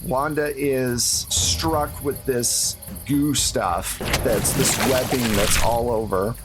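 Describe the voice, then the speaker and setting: A man's voice: mid-range voice, fairly even intonation, slow, very noisy. A man narrating a tabletop horror roleplaying game as game master, in a casual, conversational way.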